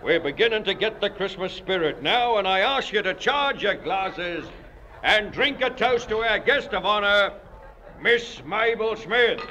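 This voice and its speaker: A jovial old Aussie farmer dad. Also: male voice, high voice, lively intonation, unhurried, sharp tone, slight background noise, exaggerated voice. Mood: teasing. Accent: Australian. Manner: hearty, speechmaking, teasing. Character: jovial older country farmer father, teasing. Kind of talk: 1930s radio serial acting. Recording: dull old radio recording, party crowd behind.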